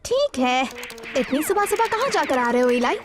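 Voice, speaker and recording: A woman playing a sharp, skeptical teenage girl teammate in a cartoon, with a teasing manner. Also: high voice, big pitch swings, moderate pace. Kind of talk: cartoon dub dialogue. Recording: music behind the voice.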